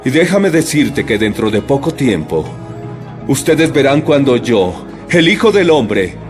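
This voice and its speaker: An actor playing a solemn, calm, authoritative holy teacher with a measured delivery in a dramatized audio Bible. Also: male voice, medium pitch, music in the background.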